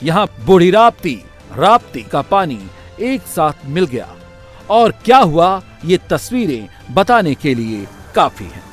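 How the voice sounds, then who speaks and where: Animated high male news voice-over over music. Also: a little slow. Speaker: news narrator. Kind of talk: dramatic scripted news voice-over.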